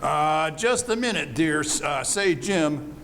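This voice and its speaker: A man playing a hesitant, henpecked middle-aged husband with halting speech in an old-time radio comedy. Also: on the high side, lively intonation, a bit bright, slight background noise, full character voice. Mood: meek, hesitant. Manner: slow, halting. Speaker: amateur radio play actor.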